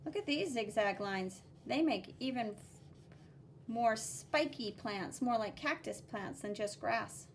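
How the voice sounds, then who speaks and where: A woman with a very high voice reads a picture book aloud for children as an art teacher. She takes her time, stressing key words in a sing-song way and explaining the drawings simply. There is faint noise behind her. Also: animated, measured pace. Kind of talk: expressive read-aloud with drawing commentary for children.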